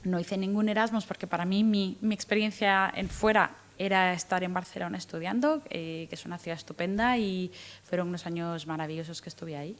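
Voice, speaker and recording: Woman, thirties, from Guatemala, faint Guatemalan accent, lowish voice, thoughtful with restarts. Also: fairly quick. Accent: light Guatemalan, mostly Spanish from Spain. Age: 30s. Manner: thoughtful, many restarts and fillers, lively rises when retelling. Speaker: guest, in-house lawyer. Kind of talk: interview answers.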